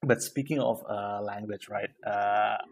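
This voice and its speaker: A man from Indonesia hosting a podcast, chatting casually and hesitantly, often pausing on "uh" as he searches for words. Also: fairly low pitch, fairly even intonation, gravelly, no echo. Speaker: podcast host. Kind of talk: casual interview chat.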